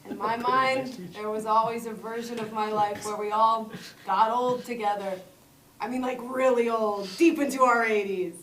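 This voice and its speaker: Lady doing a sad sentimental middle-aged actor guy, comedy table read, bit high, distant mic. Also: steady tone, moderate pace, fairly bright tone, lightly acted. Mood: mournful, sentimental. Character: grieving middle-aged male movie star, sentimental and weary. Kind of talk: live comedy script read-through. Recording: roomy, distant mic, faint noise.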